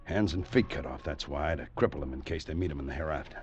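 Very deep-voiced, gruff cavalry sergeant. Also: male voice, fast talker, darkish tone, lo-fi sound. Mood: grim. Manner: gruff, emphatic, military deference. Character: seasoned, blunt frontier cavalry sergeant, sure of himself. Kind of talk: 1950s radio western acting. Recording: vintage radio broadcast with faint noise.

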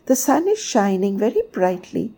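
A tiny, timid boy sparrow acted out by a woman in a storybook. The voice is very high and squeaky, with lively swoops of pitch. He pleads and repeats himself in a frightened fluster. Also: normal speed. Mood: awed, alarmed. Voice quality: squeaky, chirruping. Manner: breathless repetition, stammering pleas.

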